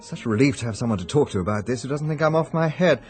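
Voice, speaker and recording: An actor playing a polite, educated gentleman art historian in a radio drama, courteous and a little hesitant as he investigates. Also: mid-range voice, expressive, racing, faint music behind, lightly acted. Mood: polite, musing.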